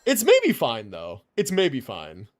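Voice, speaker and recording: A man livestreaming a video game with casual commentary, thinking out loud in slangy, sweary talk. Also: mid-range voice, huge pitch swings, slow pace, background noise. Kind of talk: casual gaming stream commentary.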